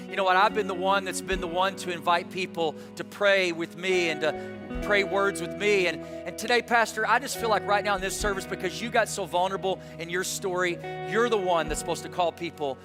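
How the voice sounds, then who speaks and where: A pastor preaching an Easter sermon, energetic and full of rhetorical questions that call the listeners to respond. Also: male voice, high voice. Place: large church auditorium. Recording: reverberant hall with faint music.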